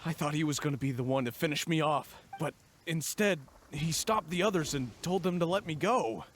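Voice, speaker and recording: A man's voice: on the high side, brisk, slight background noise, lightly acted. An actor playing a frightened merchant and family man in an English video game, with a nervous, pleading manner. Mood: shaken, recounting. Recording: game music behind the voice.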